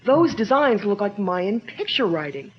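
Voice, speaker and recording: A woman's voice: medium pitch, very animated, a little slow. An actress playing an eager young woman assistant to a detective chief, speaking expressively in a 1940s-style radio drama. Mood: curious. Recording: dull lo-fi old radio recording.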